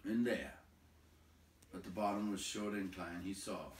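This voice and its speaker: A man reading a story aloud in an expressive, deliberate, dramatic narration. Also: deep voice. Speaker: storybook reader. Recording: roomy, distant, faint background noise.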